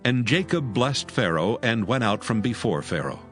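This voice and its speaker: A man's voice: on the low side, expressive, moderate pace. A man narrating a dramatized scripture story from a script. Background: faint music.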